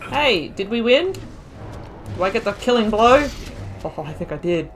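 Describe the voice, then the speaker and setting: A woman's voice: mid-range voice, very animated, measured pace, background noise. Chatty woman commentating a game. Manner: chatty, reacting out loud. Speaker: gaming YouTuber. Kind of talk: casual let's-play commentary.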